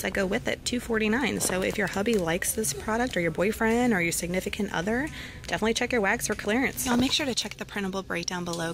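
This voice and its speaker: A woman, chatting upbeat to viewers as she walks through store deals, then films from a parked car. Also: medium pitch. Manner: upbeat, talks straight to viewers with "y'all" and "guys". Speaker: couponing vlogger. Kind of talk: casual chatty deal walkthrough. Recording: noisy store and car background.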